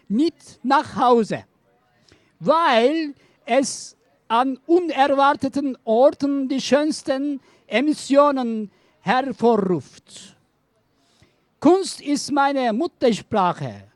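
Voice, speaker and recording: Slow woman reciting an art manifesto. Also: high-pitched, a little mellow, dry recording. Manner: slow, declamatory, repetitive.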